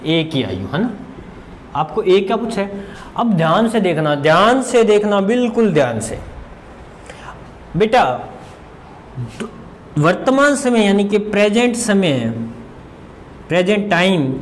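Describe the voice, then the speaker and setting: A high-voiced man gives an informal exam-prep lesson. He talks like a teacher at the board, repeating key phrases, asking questions and answering them himself. There is some noise behind him. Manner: conversational, asks and answers his own questions as students would; mixes English terms into Hindi. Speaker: maths teacher.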